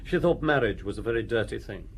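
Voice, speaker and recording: An actor playing an idle, snobbish playboy in a radio drama, with an offhand, clipped, sardonic way of talking. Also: male voice, medium pitch, animated, fast, vocal fry, a little hiss or hum. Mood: cool, sardonic.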